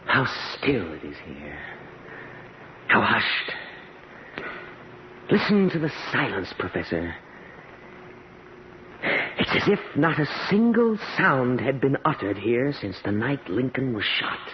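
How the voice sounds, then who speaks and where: An actor playing an earnest, awestruck history expert in an old-time radio drama, with halting, dramatic pauses. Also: male voice, medium pitch, huge pitch swings. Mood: hushed, reverent. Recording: dull, noisy vintage radio recording.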